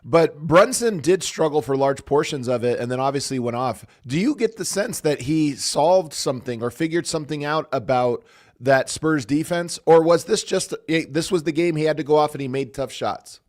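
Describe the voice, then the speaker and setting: A man's voice: on the high side, normal speed, a little mellow. A man hosting a sports podcast in casual chat, his voice strained and going from coaching all weekend, asking questions with easy curiosity. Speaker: podcast host.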